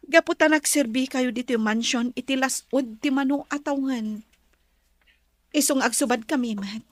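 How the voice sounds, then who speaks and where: High-voiced kind Ilocano madam played by a woman. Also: dry recording, studio quality. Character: kind, grateful woman of a wealthy household. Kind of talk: earnest drama dialogue.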